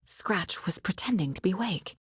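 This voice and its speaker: A woman's voice: on the low side, lively intonation, normal speed, breathy edge, no echo, in a whisper, studio quality. An actress voicing a focused, determined female investigator in a video game, troubled but dryly wry. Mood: hushed, brooding. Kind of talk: dramatic game voice lines.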